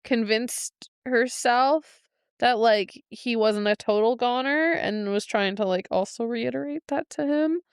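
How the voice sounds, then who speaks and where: Clear, smooth-voiced woman chatting casually. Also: fairly high pitch, measured pace, dry recording, studio quality. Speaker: podcast co-host. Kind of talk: casual book discussion.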